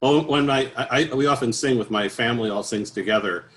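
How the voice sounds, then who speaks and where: A man's voice: medium pitch, brisk, fairly bright tone. A middle-aged man talking casually as an interview guest, in a rambling, anecdotal way. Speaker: interview guest, professional choral bass singer.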